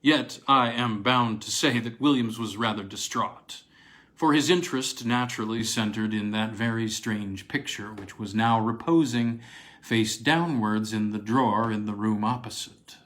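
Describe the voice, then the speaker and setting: A man narrates a literary tale aloud. His medium-pitched voice is bright and forceful, with animated rises and falls that give the reading an expressive storytelling feel. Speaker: narrator, reader.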